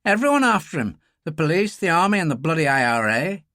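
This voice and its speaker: A man's voice: fairly high pitch, very animated, fairly quick, pushed and bright, no room sound, clean studio sound, pitched above the performer's own voice. A man voicing an intense, bitter young paramilitary leader in a thriller audiobook, with a Belfast accent and a wary, sardonic manner. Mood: bitter anger.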